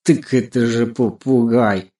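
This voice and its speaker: A man's voice: medium pitch, steady tone, takes it slowly, dark tone, lightly acted. A man as a mocking, drawling smug tomcat. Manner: mocking, snorting. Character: scornful, smug male house cat.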